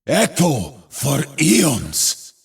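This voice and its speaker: Gravelly war hero from a video game, super husky, slow heavy delivery, grim and defiant. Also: male voice, mid-range voice, huge pitch swings, full character voice. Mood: solemn, defiant. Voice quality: raspy, gravelly. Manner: declamatory, weighty pronouncements. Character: battle-hardened warrior hero, grim, resolute and defiant.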